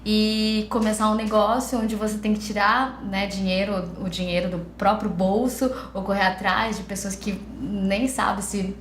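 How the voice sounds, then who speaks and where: A woman's voice: mid-range voice, level tone, a little slow, few pauses, a little hiss or hum. A Brazilian woman entrepreneur talking hesitantly and reflectively in a documentary interview. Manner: hesitant, reflective, frequent fillers. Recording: roomy interview room.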